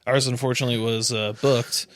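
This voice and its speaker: A man's voice: mid-range voice, steady tone, a little fast, fairly bright tone, a little hiss or hum. An American man co-hosting a podcast in casual chat, informal and joking, with some swearing. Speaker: podcast co-host.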